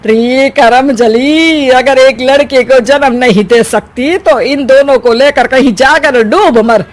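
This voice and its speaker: Spiteful, slow-scolding old grandmother acted out. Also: sits high. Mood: furious scolding. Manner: taunting, drawn-out melodramatic scolding. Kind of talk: melodramatic Hindi family story dub.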